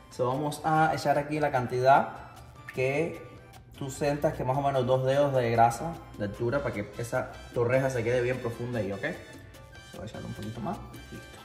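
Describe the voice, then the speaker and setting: A man's voice: mid-range voice, reverberant. A young man from Cuba with a Cuban Spanish accent, chatting casually to viewers as he demonstrates a recipe in his home kitchen. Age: young adult. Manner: chatty, addresses viewers directly, mixes in English "so" and "ok". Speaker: cooking show host.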